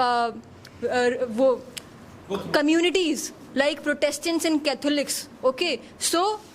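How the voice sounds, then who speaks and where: A woman's voice: high voice, a little hiss or hum. A woman in a classroom debate who lays out her point patiently and keeps checking with "okay?". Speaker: debate participant.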